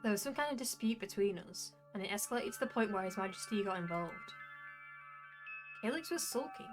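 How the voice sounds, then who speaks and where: A woman narrating a visual novel as a wry, reflective young male jester, in measured storytelling. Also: mid-range voice, animated, over music, close to an everyday voice. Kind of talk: visual novel first-person narration read aloud.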